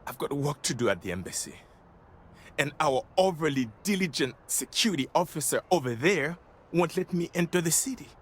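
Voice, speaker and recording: An actor playing a formal, flustered and exasperated diplomat in video game dialogue. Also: male voice, on the high side, animated, breathy edge. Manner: formal wording, exasperated, halting.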